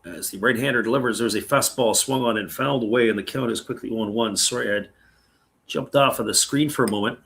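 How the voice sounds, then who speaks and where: Gravelly-voiced man calling baseball live. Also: mid-range voice, fairly quick. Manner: relaxed, chatty, reads viewer comments aloud. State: voice getting raspy. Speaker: play-by-play commentator. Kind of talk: live baseball play-by-play with chat reading.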